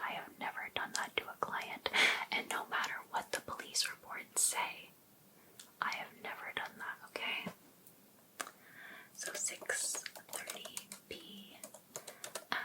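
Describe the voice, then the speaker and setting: Woman acting as jealous female life coach, ASMR roleplay, whispered, very slow, coaxing, possessive, sweetly pushy. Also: bright, forceful tone, poor audio. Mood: creepily eager. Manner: slow, breathy, coaxing whisper addressed to one client.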